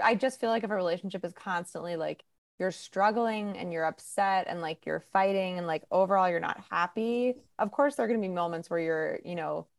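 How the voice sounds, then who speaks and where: Low-voiced woman hosting a casual podcast. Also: vocal fry, no echo, clean studio sound. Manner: emphatic, opinionated, peppered with "like". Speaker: podcast host. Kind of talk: casual conversational podcast talk.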